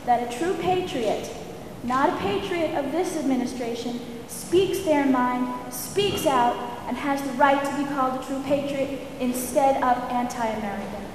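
A librarian, a woman with a naturally soft voice pushing herself to speak louder, gives an earnest, firm public comment at a hearing. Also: high-pitched, measured pace. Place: large public meeting hall. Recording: echoey hall with room noise.

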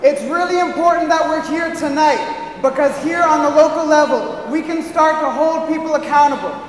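A man's voice: very high-pitched, steady tone, normal speed, background noise. Activist guy firing up a crowd, impassioned, big long hall reverb. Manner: impassioned, rousing. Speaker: community activist speaking at a public hearing. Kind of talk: rallying public speech. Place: large public meeting hall. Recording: echoey hall from a distance.

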